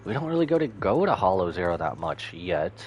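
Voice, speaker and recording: A man's voice: deep voice, very animated, fairly quick, lo-fi sound. A man giving casual, slangy, exclamatory commentary while playing through a game. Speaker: streamer. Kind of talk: casual game playthrough commentary, reading some text aloud. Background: game music.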